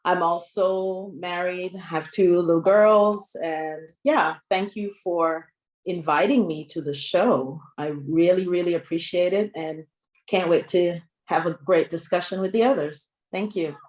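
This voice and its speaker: A woman's voice: lowish voice, measured pace, a little mellow, echoey room. A woman from Montserrat who sings and writes songs, introducing herself politely on a video call panel. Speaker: singer and songwriter guest.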